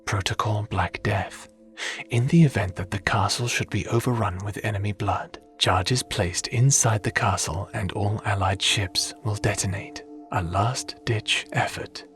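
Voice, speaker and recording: A man playing a calm, matter-of-fact advisor in a fantasy audio drama, speaking formally and without much emotion. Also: fairly low pitch, soft background music. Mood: composed. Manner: measured, formal, unemotional.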